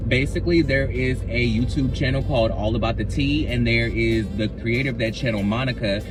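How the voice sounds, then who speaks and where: A man with a big, expressive voice talks casually to the camera, circling back and repeating phrases as he goes. His pitch sits in a plain middle range. Music plays clearly underneath. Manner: expressive, reactionary, rambling with repeats. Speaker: YouTuber. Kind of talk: casual talking-head vlog.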